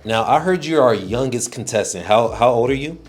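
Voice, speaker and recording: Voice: mid-range voice, moderate pace, soft background music. A host opening the show with upbeat scripted narration. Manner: lively, upbeat presenting.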